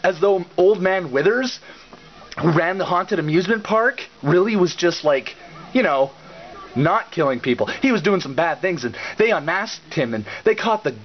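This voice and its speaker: Sarcastic man with swooping, animated pitch. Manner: sarcastic, theatrical, halting with repeated words. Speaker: reviewer and puppet-show host. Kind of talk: satirical rant and recap. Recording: slightly dull lo-fi audio.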